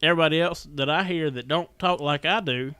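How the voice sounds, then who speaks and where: A man's voice: fairly high pitch, brisk, pushed and bright, clean tone, dry recording. A man with a Southern US accent chatting casually as a podcast host, telling things in a folksy, rambling way. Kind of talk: casual chat.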